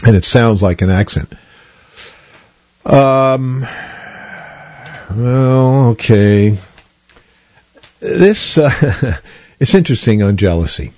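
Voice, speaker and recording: A man's voice: lowish voice, mellow, no room sound, dull audio. A man hosting a radio show, chatting casually about news items in a digressive way, with plenty of fillers and restarts. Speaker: radio host.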